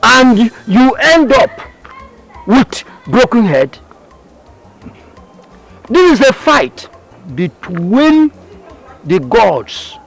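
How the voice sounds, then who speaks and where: A high, lively voice of an older chief, agitated and scolding, speaking slowly. Faint music sits underneath. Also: male voice, vocal fry, heavily acted, poor audio. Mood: lecturing. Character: older village chief, anxious and evasive.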